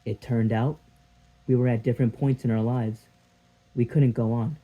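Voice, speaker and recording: A man's voice: medium pitch, steady tone, moderate pace, narrow, tinny audio, lightly acted. A man reading a stage scene as an evasive, resigned former lover, answering tersely. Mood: defensive, resigned.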